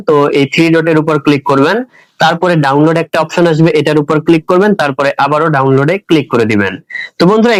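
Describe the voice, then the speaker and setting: Guy doing a step by step tech tutorial, talks really fast, slightly high voice, instructional. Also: no room sound, rough recording. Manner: instructional, repeatedly addressing viewers as friends.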